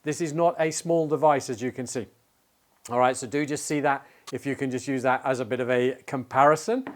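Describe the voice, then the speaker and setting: Man in his forties, medium pitch, tech presenter, casual explanatory delivery, hesitant phrasing. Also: steady tone, fairly quick. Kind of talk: casual product unboxing walkthrough. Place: indoor studio with plain backdrop.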